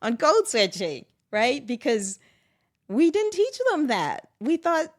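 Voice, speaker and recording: A middle-aged woman speaking reflectively and personally in conversation, with animated, expressive asides. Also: high-pitched, slow pace. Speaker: guest.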